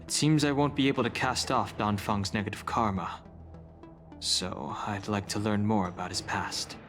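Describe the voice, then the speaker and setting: Brooding game exile voiced by a male actor: lowish voice, formal and measured, reserved, faint music beneath. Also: fairly even intonation, close to an everyday voice. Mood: grave, resolved. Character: solemn, reserved exiled man burdened by past. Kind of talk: English video game voice acting.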